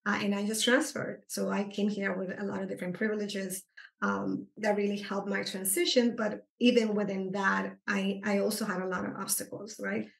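Woman, gravelly voice, roomy echo, earnest explainer. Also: medium pitch, moderate pace. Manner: earnest, explanatory, animated when stressing points. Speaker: psychotherapist guest. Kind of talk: conversational interview. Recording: echoey room.

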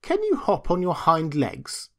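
A man's voice: high voice, wildly swooping pitch, measured pace, voice pushed up, full character voice. A man voicing a boastful, teasing male wild rabbit in a storybook, blunt and taunting. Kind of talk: storybook character voice.

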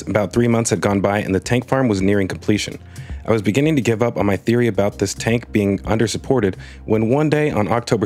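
A man's voice: fairly low pitch, darkish tone. A man narrating a scripted space news video, telling the story with wry comic asides.

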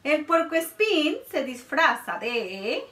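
A woman in her thirties reading a picture book aloud to children in a playful, expressive way, in a small room. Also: high-pitched, takes it slowly, reverberant, recorded from a distance. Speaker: children's librarian. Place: small room indoors, before a board.

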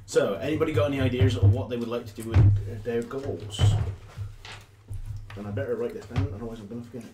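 A man's voice: fairly even intonation, fairly quick. A man running a tabletop game as game master, chatting casually with jokes and swearing. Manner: jokey, sweary, echoes others' words. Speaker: game master and host of the group's gaming recording. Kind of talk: casual joking group chat at a tabletop game. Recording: echoey room, loud thumping music-like rumble.

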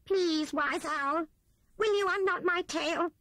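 A woman's voice: very high voice, slow pace, fairly bright tone, no echo, studio quality, voice pushed up, heavily acted. A woman voicing a thin, timid male rat thief in a storybook, in a supplicating manner. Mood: pleading.

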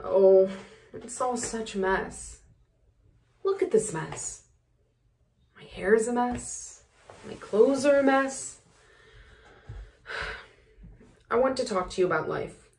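A woman's voice: a little high, animated, slow pace, long pauses, a little mellow, slight background noise. An actress performing a neurotic, self-absorbed woman in a comic monologue, rambling and sighing dramatically.

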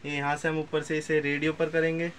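A man's voice: on the high side, monotone, brisk. A man giving a casual product demonstration as a seller, repeating himself as he explains each step. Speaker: seller presenting second-hand audio equipment. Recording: clear background noise, amateur room recording.

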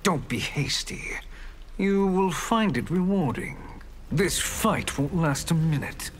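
A man playing a sly, patient sorcerer in a video game, with measured, insinuating delivery. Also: a little high, animated, slight background noise. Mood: sly, threatening. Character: smooth, scheming male sorcerer, patient and sly. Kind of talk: video game fight intro dialogue. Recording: music behind.

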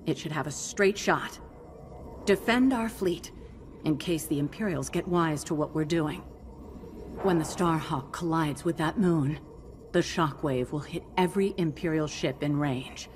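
A woman playing a grave, resolute alien general who briefs pilots in a measured, commanding way in a video game cutscene. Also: low voice, slight background noise. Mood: urgent, resolute.

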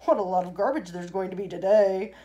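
A woman's voice: medium pitch, brisk, dark tone, lo-fi sound, natural voice. A woman voicing an indignant, pompous wealthy father in a storybook reading, stuffy and emphatic. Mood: pompous indignation. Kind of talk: storybook character voice in a read-aloud.

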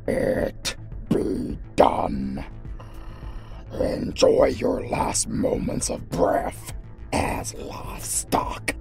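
A man playing a cruel, regal vampire lord in a dark audio roleplay, hissing grand, menacing pronouncements in a gravelly whisper. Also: low voice, full character voice.

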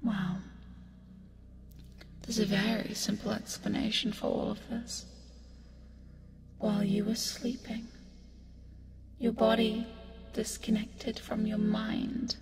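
Woman playing a slow, whispery seductive demoness. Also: mid-range voice, level tone. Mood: soothing, teasing. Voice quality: breathy, often whispered, with creaky fry. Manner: slow, taunting, coaxing with pet names. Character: seductive, teasing female sleep paralysis demon, creepy yet soothing. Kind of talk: ASMR roleplay, hypnotic bedtime delivery. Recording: long reverb, music behind. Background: music.

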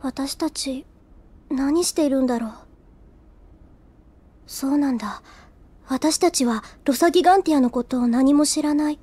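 Woman playing a very high-pitched, earnest schoolgirl. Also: moderate pace, long pauses, mellow, no room sound, close to an everyday voice. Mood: earnest, worried. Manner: earnest, easily flustered. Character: earnest, flustered teenage schoolgirl. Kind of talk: Japanese anime audio drama acting.

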